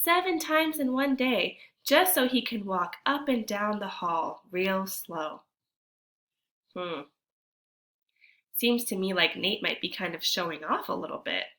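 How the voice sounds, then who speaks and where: Female primary-school read-aloud teacher. Bright, animated storytelling, medium pitch, speaks directly to kids. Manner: addresses children directly, deliberate and animated. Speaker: teacher reading a picture book aloud to children. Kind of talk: expressive storybook read-aloud with questions to young listeners.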